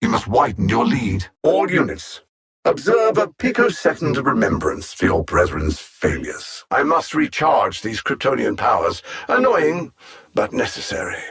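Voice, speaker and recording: An actor playing a cold, arrogant alien android supervillain in a video game, speaking precisely with pompous, condescending menace. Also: male voice, medium pitch, sing-song, a little slow, gravelly, a bit bright, slightly rough, exaggerated voice. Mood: commanding. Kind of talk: scripted video game villain dialogue.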